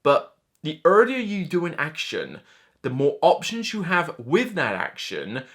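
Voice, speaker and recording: A man in his thirties talks casually to camera as a board game reviewer. His intonation swings widely and his delivery is animated and chatty. He speaks off the cuff, slipping into imagined reactions as he goes. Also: fairly high pitch. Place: indoor room lined with shelves of board games.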